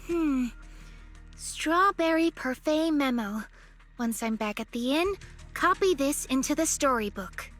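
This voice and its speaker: High, unhurried girlish game companion. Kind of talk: video game voice acting.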